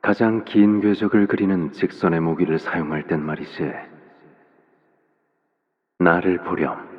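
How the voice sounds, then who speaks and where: An actor playing a calm, menacing middle-aged assassin father in a video game, speaking gently and without hurry to his daughter. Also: extremely low pitch, husky, big-room sound, lo-fi sound, full character voice. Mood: calm, instructive. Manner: gentle, unhurried, eerily calm, paternal. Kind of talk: video game character voice lines.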